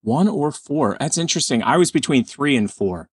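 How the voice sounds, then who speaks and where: A man's voice: mid-range voice, moderate pace, husky, dry recording, clean studio sound. A man hosting a podcast, reacting to a sketch with laughter and broken-off sentences. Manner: laughing, animated, trailing off mid-sentence. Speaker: podcast host. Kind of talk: casual chat.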